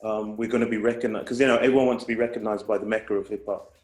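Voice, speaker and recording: A man's voice: lowish voice, fairly even intonation, rapid-fire, a little mellow, reverberant, soft background music. A man, a guest in casual conversation, reflective and rambling with restarts. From England. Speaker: guest, hip hop artist.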